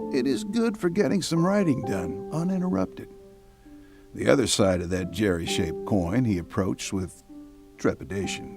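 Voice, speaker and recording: A man giving measured, wry scripted narration for a radio drama. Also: medium pitch.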